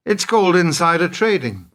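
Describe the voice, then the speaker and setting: A man's voice: high voice, fairly bright tone, exaggerated voice. A man acting in a radio play as an idealistic, indignant businessman, morally earnest and defiant, with an emphatic speechifying delivery. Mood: grim realization.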